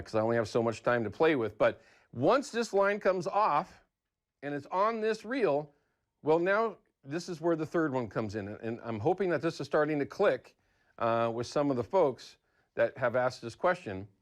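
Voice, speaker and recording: An American man hosting a casual how-to TV segment in a chatty, folksy, conversational way. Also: fairly high pitch. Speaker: TV show host.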